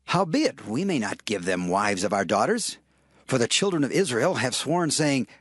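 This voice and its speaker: An actor playing a grave Israelite elder with a husky voice in a scripture drama. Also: male voice, mid-range voice, sing-song, moderate pace, fairly bright tone, no echo, clean studio sound.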